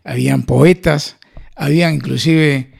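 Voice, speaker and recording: A Peruvian man speaking as a guest musician in an interview, halting and reflective, correcting himself as he goes. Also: fairly high pitch, fairly even intonation, vocal fry, a little mellow, slightly rough. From Lima, Peru. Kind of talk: conversational interview.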